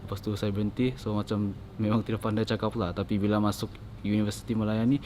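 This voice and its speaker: A young male university student speaks casually in a low, flat voice at a quick pace. Clear background noise sits under his interview. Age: young adult.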